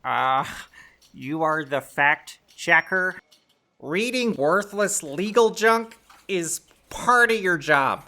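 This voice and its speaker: A woman's voice: on the low side, expressive, takes it slowly, sharp tone, exaggerated voice. An actress playing an exasperated, officious female copy assistant in a comedic audio drama, dry and pedantic.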